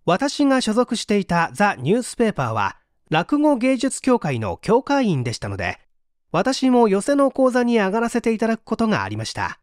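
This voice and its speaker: Lively-pitched audiobook narrator, dry close sound. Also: fairly quick, dry recording. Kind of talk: scripted book reading.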